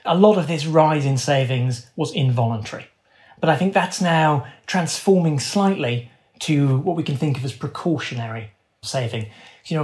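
A man's voice: fairly high pitch, darkish tone. A man giving conversational economic analysis as an interview guest, explaining in informal, nuanced phrasing.

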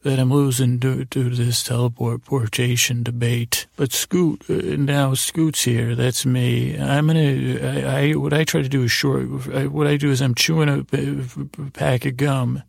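A man with a gravelly, creaky voice hosting a podcast in a rambling bedtime monologue, halting and repeating himself as he meanders along. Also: mid-range voice, flat delivery, no room sound, clean studio sound. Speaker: podcast host.

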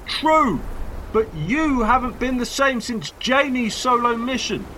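A suspicious, worried friend in an audio drama, acted by a man, speaks in a very high male voice with a bright, forceful edge. He probes and accuses pointedly. Light ambient noise sits behind him. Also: lively intonation, measured pace, exaggerated voice, rough recording. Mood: accusing. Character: Colin, suspicious, worried male old friend confronting a companion.

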